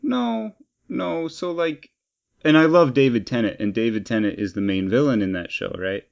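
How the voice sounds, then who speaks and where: A man's voice: medium pitch, moderate pace, vocal fry, clear, resonant tone, dull audio. A man co-hosting a casual pop-culture podcast, talking in an opinionated, emphatic and rambling way. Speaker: podcast co-host.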